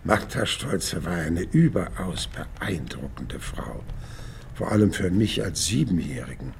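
A man's voice: deep voice, sing-song, measured pace, creaky, a little hiss or hum, full character voice. An actor playing a hundred-year-old male radio playwright who rambles through his memories, wistful and proud, in a reflective radio drama monologue. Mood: wistful reminiscing. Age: 100. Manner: rambling, reflective, emphatic swings between murmur and outburst.

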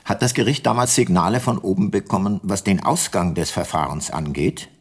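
Deep, husky, weary older detective. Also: male voice, no room sound. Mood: probing. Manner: sober, measured, reflective. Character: weary, guilt-laden male private detective, ageing former prosecutor. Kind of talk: first-person radio play narration and dialogue.

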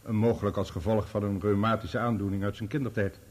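A man playing a dignified physician testifying in a courtroom radio drama. His low voice is measured, formal and matter-of-fact. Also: steady tone, darkish tone, lightly acted. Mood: grave, factual. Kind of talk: radio play courtroom acting.

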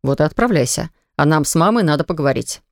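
A woman's voice: low-pitched, expressive, quick pace, gravelly, no echo, studio quality, voice pushed down. A woman voicing an irritable, tired father who lectures his young son in a children's storybook. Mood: exasperated. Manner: stern, exasperated, lecturing. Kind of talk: audiobook character reading.

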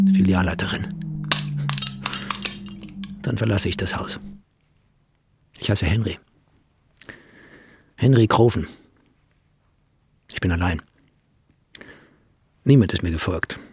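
Radio play: lonely, intense male narrator, introspective, slow delivery, gravelly edge. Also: sits low, dry recording, heavily acted. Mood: bleak, uneasy. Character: brooding, lonely male wanderer, intense and observant. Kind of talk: German radio play, first-person narration.

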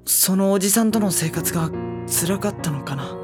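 A woman's voice: medium pitch, moderate pace, music in the background, close to an everyday voice. A woman voicing a mild, timid teenage schoolboy who speaks hesitantly. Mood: thoughtful. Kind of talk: anime drama CD acting.

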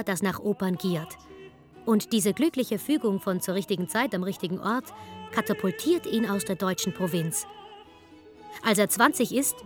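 Wry woman narrator over music. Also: mid-range voice. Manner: wry, sardonic. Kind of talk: scripted documentary narration for radio.

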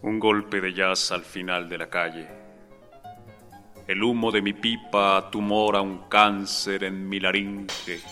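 Un hombre con acento mexicano recita poesía de forma lenta, solemne y medida. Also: male voice, low-pitched, flat delivery, takes it slowly, pushed and bright. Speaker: poetry reader. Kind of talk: slow dramatic poetry reading. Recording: long reverb over music. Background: music.

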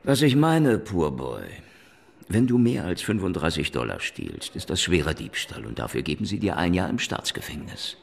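An actor playing a tough veteran cop in a radio crime drama, grilling a suspect in a dry, menacing way. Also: male voice, sits low, big pitch swings, normal speed, gravelly, slightly rough, exaggerated voice. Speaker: police detective. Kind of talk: radio play acting.

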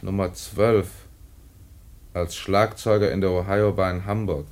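A level-headed colleague in a radio drama, lowish voice, dry and laconic. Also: male voice, fairly even intonation, measured pace, a little mellow, a little hiss or hum. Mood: wryly amused. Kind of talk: German radio play acting.

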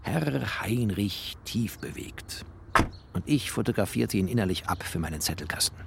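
Low, creaky-voiced bookish narrator. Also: male voice, expressive, normal speed, heavy background noise. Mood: wry, musing. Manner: poetic, clipped phrasing. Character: bookish, wry, tender poor writer narrating his life. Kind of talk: literary first-person radio play narration.

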